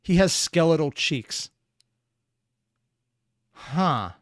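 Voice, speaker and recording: A man's voice: on the high side, expressive, very slow, dry recording, clean studio sound. A man giving casual, analytical reaction commentary, musing aloud as he thinks through what he watches. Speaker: reaction video host.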